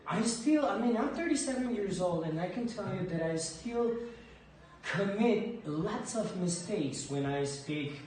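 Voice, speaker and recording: A man in his thirties from Ecuador, a teacher answering interview questions in a relaxed, hesitant way, often repeating words as he thinks. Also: high-pitched, unhurried. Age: 30s. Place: school library with bookshelves, indoors. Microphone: far camera across a desk. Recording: echoey room from a distance, faint noise.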